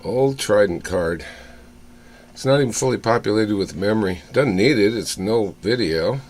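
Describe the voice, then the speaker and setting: A man giving casual, off-the-cuff hands-on commentary in a halting way, as if muttering to himself while he inspects things. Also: lowish voice, expressive, a little mellow. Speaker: hobbyist vlogger showing old computer hardware. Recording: clear background noise with room ambience.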